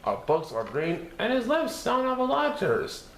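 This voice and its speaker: A man reading a picture book aloud as a storyteller, expressive and sing-song, playing with repeated words. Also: very high voice, unhurried. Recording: roomy echo with faint background noise.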